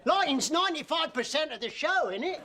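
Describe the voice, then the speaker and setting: A flashy male pop star from a cartoon, all chirpy slang and swagger. The voice sits high, and there is steady noise behind it. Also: brisk. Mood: cheeky. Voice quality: slangy, chirpy and hard to follow. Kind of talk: cartoon voice acting.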